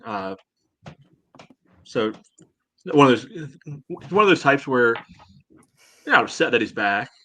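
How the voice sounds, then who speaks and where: A man co-hosting a casual sports podcast, giving his take in a dry, deadpan way. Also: mid-range voice, slow pace, long pauses. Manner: dry, dull deadpan delivery. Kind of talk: casual panel commentary.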